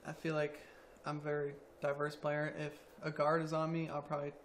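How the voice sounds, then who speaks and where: Soft-spoken young man, flat delivery. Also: a little high, brisk, a little hiss or hum. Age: around 20. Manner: quiet, soft-spoken, hesitant with ums. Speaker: college student athlete. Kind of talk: interview answers.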